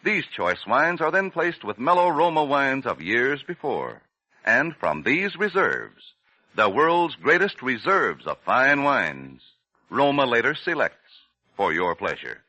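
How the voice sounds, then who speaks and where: A man announcing a 1940s radio advertisement, polished and emphatic with deliberate phrasing. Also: medium pitch, big pitch swings, pushed and bright, no echo. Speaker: radio announcer and sponsor spokesman. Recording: dry vintage 1940s radio recording.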